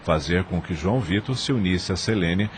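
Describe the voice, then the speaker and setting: A man's voice: sits low, lively intonation, moderate pace, background noise. A man reading scripted narration for a dramatized audio novel.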